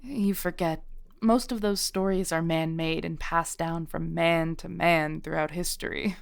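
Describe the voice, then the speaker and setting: Woman acting as a modern witch shopkeeper, audio roleplay, gravelly fry, very unhurried, kindly, gently wry, reassuring. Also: on the low side, fairly even intonation. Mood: patient explaining. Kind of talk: scripted audio roleplay, one-sided intimate conversation.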